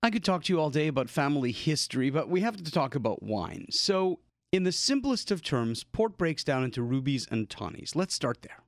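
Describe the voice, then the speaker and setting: A man hosting a radio wine program in a studio, with a polished, measured presenter delivery. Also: fairly high pitch, very animated, no echo. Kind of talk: scripted radio hosting and interviewing. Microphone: studio microphone.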